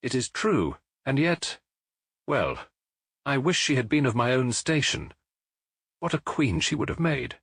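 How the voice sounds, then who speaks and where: A man's voice: medium pitch, animated, unhurried, creaky, pauses often, a bit bright, breathy edge, dry recording, studio quality, pitched above the performer's own voice, full character voice. A man voicing a proud, emotional king in a theatrical, exclamatory way for an audiobook. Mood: wistful. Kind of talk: audiobook character acting.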